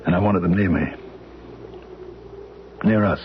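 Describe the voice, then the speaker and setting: An actor playing a gentle grieving husband who speaks in a quiet, halting way, in a 1970s radio drama on a dull, muffled old recording. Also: male voice, low-pitched, background noise.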